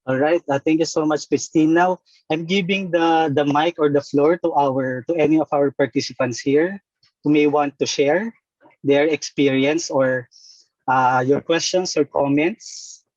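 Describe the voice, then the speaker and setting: A man moderates a webinar in a slightly high voice, polite and a bit hesitant. His audio comes through dull and dry, as over a video call. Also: fairly even intonation, a little slow, a little mellow, no room sound. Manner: polite, hesitant, many fillers.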